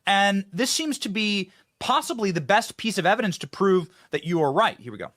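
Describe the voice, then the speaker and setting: A man's voice: high voice, very animated, moderate pace, fairly bright tone, no room sound, studio quality. A man hosting a casual political interview, informal and questioning in the way he talks. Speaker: host interviewing a guest.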